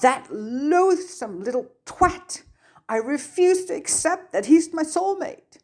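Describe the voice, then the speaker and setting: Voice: high voice, animated, slow, darkish tone, pitched above the performer's own voice, heavily acted. A narrator voicing a furious, tearful teenage witch girl full of indignant outrage in an audiobook story.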